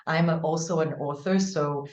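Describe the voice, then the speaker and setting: Woman, from Brazil, low pitch, warm, laughing, roomy dull lo-fi call. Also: fairly even intonation, normal speed. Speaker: guest. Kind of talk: casual interview.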